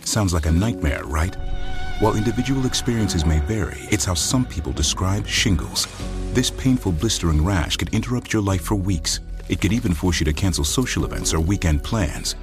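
A man narrating a health commercial in a grave, measured tone. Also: deep voice, animated, music in the background.

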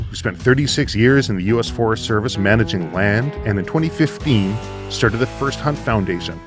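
A man speaking as a podcast host, in introduction and interview style. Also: fairly low pitch.

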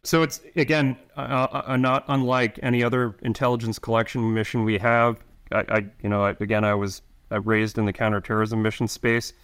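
A middle-aged man, an intelligence officer answering interview questions, speaking haltingly with many restarts and fillers. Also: medium pitch, level tone, a bit bright, clean tone.

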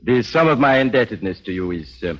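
Haughty gentleman, dull old radio recording. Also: mid-range voice, expressive, brisk. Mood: proud, formal. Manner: stiffly formal, precise speech. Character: haughty, shabby-genteel down-and-out gentleman with monocle. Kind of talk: 1940s radio drama acting.

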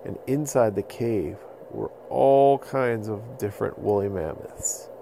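A man telling an improvised bedtime story to children in simple, explanatory phrases with pauses. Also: lowish voice, gravelly, mellow, slight background noise, poor audio. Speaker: podcast storyteller.

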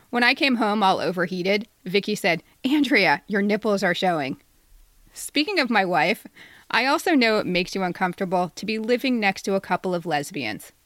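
A woman's voice: medium pitch, fairly bright tone, no echo, clean studio sound. A woman in her fifties reading a personal satirical letter aloud in a wry, mock-polite way, as a podcast co-host. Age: 50s.

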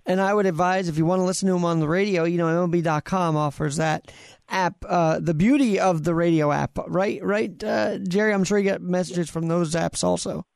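A man with a high, creaky voice hosts a casual interview. He is from New York, and the sound is dry and close, with very little room. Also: fairly even intonation, a little fast, a little mellow, no echo. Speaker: interview show host.

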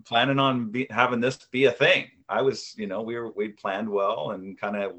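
A man's voice: medium pitch, level tone, moderate pace, sharp tone. A man in his fifties chatting as an interview guest, humble and self-deprecating, with many fillers and restarts. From United States. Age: 50s. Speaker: guest, author and video creator. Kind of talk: conversational interview answers.

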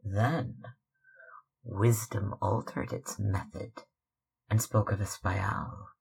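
A man reading literary fiction aloud as narrator, in a measured, dramatic way. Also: on the low side, gravelly, fairly bright tone, husky, rough recording. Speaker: audiobook reader.

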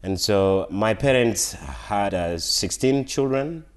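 A man in his thirties with a Ugandan accent, a guest speaking softly in a casual interview and laughing often. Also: fairly low pitch, animated, takes it slowly. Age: 30s. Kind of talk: casual conversation.

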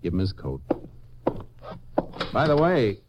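A man with an American accent playing a calm, shrewd, commanding Texas Ranger in a 1950s radio western, with a dry, probing delivery. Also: fairly low pitch, takes it slowly, background noise, heavily acted. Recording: dull vintage radio recording.

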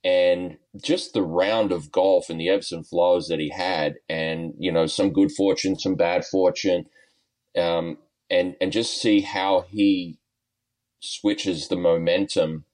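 A middle-aged Australian man with a very low voice talks golf in a relaxed, rambling way. He fills pauses with ums and uhs as he tells his stories. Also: low-quality recording. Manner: easygoing, lots of ums and uhs, chuckles often. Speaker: golf coach and guest. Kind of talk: podcast interview, relaxed storytelling.

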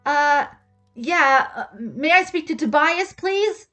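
A woman's voice: high-pitched, lively intonation, slow, bright, forceful tone, pitched above the performer's own voice, heavily acted. A woman voicing an exasperated, impatient mother in her thirties for a story, with snappy, emphatic delivery over music.

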